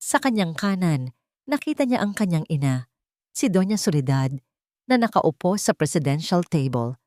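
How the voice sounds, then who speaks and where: Low-voiced woman narrating a dramatic story. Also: big pitch swings, moderate pace, darkish tone, dry recording.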